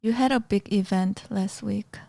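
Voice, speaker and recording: A woman's voice: mid-range voice, normal speed, gravelly, mellow, dry recording. A woman co-hosting a podcast in casual chat, teasing her co-host, laughing often and asking questions.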